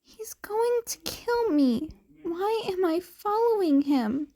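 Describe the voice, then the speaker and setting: A woman voicing a small, timid cat-boy in a podfic story, with a quiet, hesitant, pleading voice. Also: extremely high pitch, lively intonation, takes it slowly, voice pushed up, heavily acted. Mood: frightened. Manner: hesitant, pleading, breaking off mid-sentence. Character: frightened small cat-boy, timid, wary, cheekily cute.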